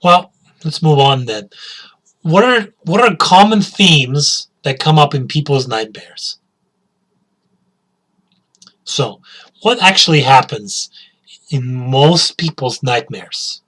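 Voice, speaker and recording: Male teacher, slightly high voice, unhurried delivery, repeats questions and key words to students. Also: animated, a bit bright, rough recording. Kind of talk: slow spoken English lesson.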